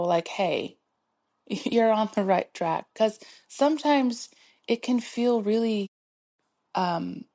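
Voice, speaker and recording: A woman's voice: medium pitch, darkish tone. A middle-aged woman hosting her own podcast, talking in a soothing, encouraging way and laughing often as she urges listeners to keep going. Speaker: podcast host. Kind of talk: motivational solo podcast talk.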